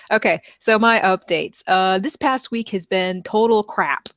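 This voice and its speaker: A woman co-hosts a relaxed podcast, chatty and agreeable and quick to laugh. Her voice sits at a middle pitch and sounds dry and close to the mic. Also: no room sound. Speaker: podcast co-host. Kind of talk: casual chat.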